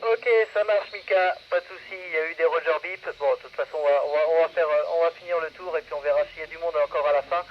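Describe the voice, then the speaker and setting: A man casually hosting an amateur radio net, speaking in halting, hesitant phrases into a radio microphone. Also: a little high, fairly even intonation. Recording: muffled radio audio with background noise.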